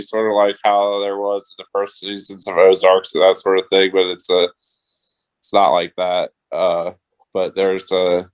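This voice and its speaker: Smooth, low-voiced man chatting. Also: poor audio. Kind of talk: casual chat on a radio show.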